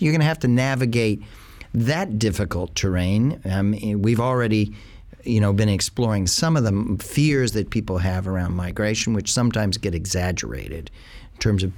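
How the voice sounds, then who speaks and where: A middle-aged man hosting an interview, asking long, rambling questions with fillers. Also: on the low side.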